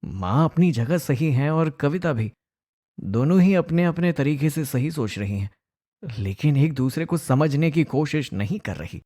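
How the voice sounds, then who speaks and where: Concerned adult son and mediator, family cartoon, male actor; mellow, unhurried, earnest. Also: on the high side, animated. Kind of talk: Hindi animated family drama acting.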